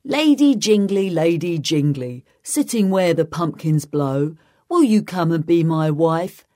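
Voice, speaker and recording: A lonely little storybook creature with an oversized head, voiced by a woman reader pleads earnestly in a warm, mellow tone. The delivery is a touch raised above plain narration. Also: fairly low pitch, lively intonation, a little slow. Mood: pleading.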